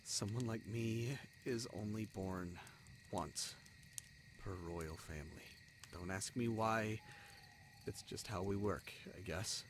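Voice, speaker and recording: A man playing a bitter, wounded young spider prince in a fantasy audio drama, speaking in halting, emotional phrases with heavy pauses. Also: fairly low pitch, background noise. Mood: subdued, resigned. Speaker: voice actor in an audio roleplay.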